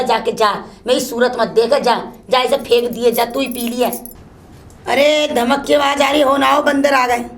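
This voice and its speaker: A woman playing a shrill, cursing old mother-in-law who keeps scolding her daughter-in-law. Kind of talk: comic one-woman sketch.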